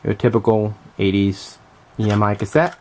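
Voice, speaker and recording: A middle-aged man giving a casual, unscripted show-and-tell commentary as a collector, thinking aloud in a halting, hesitant way. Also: on the low side, darkish tone, a little hiss or hum. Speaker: vlogger and music collector showing finds.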